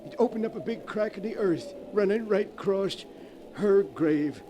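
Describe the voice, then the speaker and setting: An actor playing a deferential, hesitant household servant with folksy speech in a 1940s radio drama. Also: male voice, sits high, normal speed, lightly acted. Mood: alarmed. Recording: noisy vintage 1940s radio recording.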